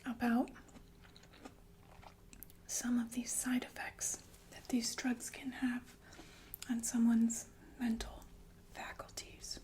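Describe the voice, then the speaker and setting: A woman playing a sinister, falsely soothing killer nurse in an ASMR roleplay, speaking in a genteel, deliberate breathy whisper with murmured asides. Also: a little high, monotone, darkish tone. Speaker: ASMR performer.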